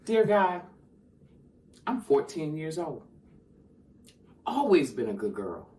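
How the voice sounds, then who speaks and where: A woman playing a frightened, wounded fourteen-year-old girl in a stage monologue, halting and plain-spoken. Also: low voice, sing-song, a little mellow, full character voice. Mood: hurt, pleading. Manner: halting, plain-spoken, Southern rural phrasing. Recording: clear background noise.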